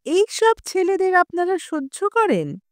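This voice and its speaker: A woman's voice: extremely high pitch, very animated, unhurried, dry recording, studio quality, pitched above the performer's own voice, full character voice. A woman voicing an irate, self-important pot-bellied rich man in an audio story, with a huffy, indignant manner.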